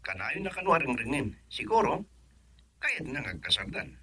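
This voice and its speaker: A man's voice: mid-range voice, sing-song, moderate pace, sharp tone, breathy edge, full character voice. An actor playing an earnest young adult son in a radio drama, reassuring his mother. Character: devoted young adult son, hopeful in love.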